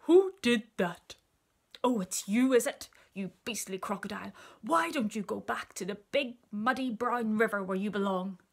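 Indignant storybook elephant acted by a woman: big, loud, booming, scolding hard. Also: lively intonation, normal speed, vocal fry, hardly any pauses.

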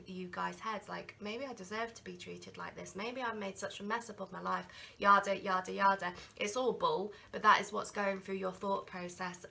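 Woman in her thirties, chatty tarot reader with a head cold, sharp tone and gravelly edges, close camera mic. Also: medium pitch, a little hiss or hum. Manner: chatty, animated hand gestures, slips into quoted inner voices. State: has a cold. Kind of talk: casual tarot reading to camera. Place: small room with candles and crystals, indoors. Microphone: camera in front at desk distance.

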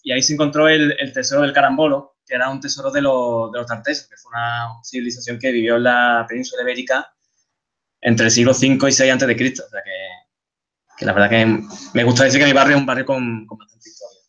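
A man's voice: medium pitch, fast talker, lo-fi sound. Chatty Andalusian man in his thirties. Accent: Andalusian Spanish. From Seville, Spain. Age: 30s. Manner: relaxed, chatty, many restarts and fillers. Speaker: guest speaker, game artist. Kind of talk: conference talk over slides.

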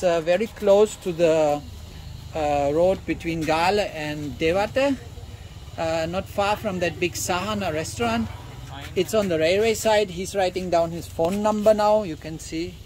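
A man with a fairly high voice speaks slow, simple English, pausing often on fillers while he chats with locals. He is recording outdoors on a handheld phone, and a faint hum of outdoor noise runs underneath. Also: poor audio. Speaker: travel vlogger. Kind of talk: casual chat with locals. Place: outdoors at a village barbershop.

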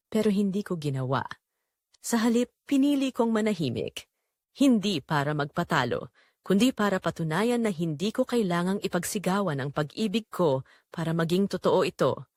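Expressive woman narrating romance. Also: lowish voice, dry recording, studio quality. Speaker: narrator of a romance audio drama. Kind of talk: scripted first-person dramatic storytelling.

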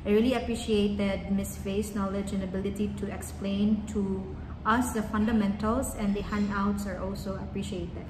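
A woman's voice: mid-range voice, steady tone, moderate pace. Filipino woman chatting in echoey cafe. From Philippines. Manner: chatty, adds tag "no?" often. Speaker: coffee business trainer. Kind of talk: casual vlog talk, reading feedback aloud. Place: large cafe, indoors. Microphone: camera close in front. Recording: roomy cafe with long echo, background noise.

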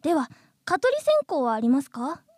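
A woman's voice: sits high, wildly swooping pitch, normal speed, darkish tone, no room sound, clean studio sound. A woman voicing a polite, insistent, earnest mosquito in human form in a radio comedy drama.

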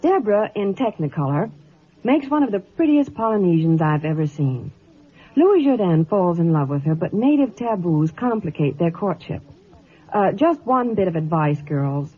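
Lively American radio woman, tinny vintage broadcast. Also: lowish voice, moderate pace, husky. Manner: chatty, polished sales delivery. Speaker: Hollywood reporter, ad pitchwoman. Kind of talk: scripted 1950s radio gossip and advertising.

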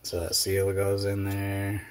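Chatty low-voiced man in his garage. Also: completely flat delivery, slow pace, a little hiss or hum. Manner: rambling, chatty, self-deprecating with fillers. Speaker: garage video host. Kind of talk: casual workshop how-to narration. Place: cluttered home workshop garage.

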